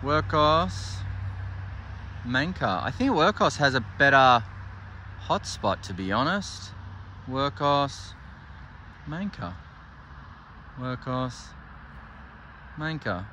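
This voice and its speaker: A man's voice: mid-range voice, animated, slow, long pauses, bright, forceful tone, a little hiss or hum. A man, in his thirties, casually reviewing flashlights and thinking aloud with enthusiasm. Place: workshop desk indoors, then outdoors at night.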